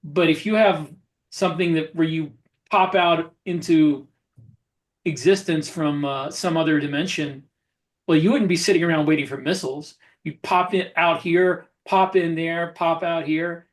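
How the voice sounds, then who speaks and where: A man's voice: a little high, steady tone, measured pace. A man musing aloud in casual chat as a podcast co-host.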